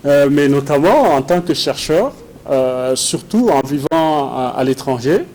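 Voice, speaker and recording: A man with a Congolese French accent answering interview questions haltingly, often repeating words as he searches for phrasing. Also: on the high side, animated, a little mellow. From Democratic Republic of the Congo. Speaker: guest, researcher and doctoral student. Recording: clear background noise and ambience.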